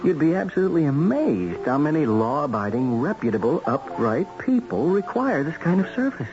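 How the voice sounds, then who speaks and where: A smooth, insinuating American man who recruits respectable killers in a 1970s radio drama. His pitch moves animatedly as he persuades in a matter-of-fact, hesitant way. The old broadcast is muffled, with music clearly behind him. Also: big pitch swings, normal speed. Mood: coolly persuasive. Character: smooth male trade editor recruiting a respectable contract killer.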